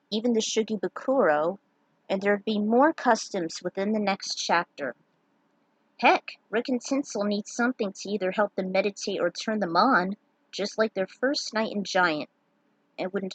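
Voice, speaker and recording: A woman's voice: medium pitch, no echo, rough recording. A woman talking casually about her fan fiction story and sharing her notes as a writer. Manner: chatty, sign-off upbeat.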